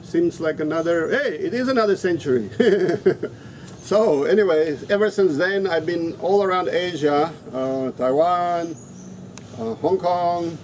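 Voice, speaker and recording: A man's voice: a little high, a little mellow. A middle-aged man from Africa, a non-native English speaker, talking casually to camera as a teacher and repeating words for emphasis. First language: not English, his third language. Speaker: English teacher and trainer, vlogger. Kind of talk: casual vlog talk to camera. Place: open-sided resto-inn in the Philippines. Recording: noisy open-air ambience behind voice.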